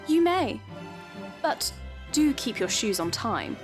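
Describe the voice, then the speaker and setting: Period audio drama: actress plays young well-to-do daughter, high, unhurried, in a reverberant hall. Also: female voice, very animated, exaggerated voice. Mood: haughty, teasing. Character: young Victorian lady, daughter of a rich family. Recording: long hall reverb, music behind.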